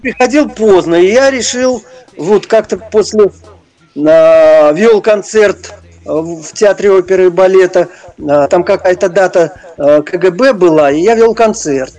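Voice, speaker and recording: An older man reminiscing in an interview, speaking haltingly and searching for his words. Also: high-pitched, mellow, faint music behind. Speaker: interview guest, retired prison-service officer and former stage performer.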